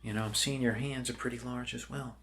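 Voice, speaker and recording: A man in an ASMR role-play playing a friendly doctor who rambles casually and haltingly to reassure an alien patient. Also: mid-range voice, fairly even intonation, background noise, close to an everyday voice. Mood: friendly explaining.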